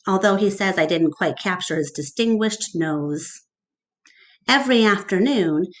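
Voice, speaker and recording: A woman teacher reading a storybook aloud to her class in a deliberate, expressive way, addressing the children directly. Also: medium pitch. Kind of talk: storybook read-aloud narration with questions to children.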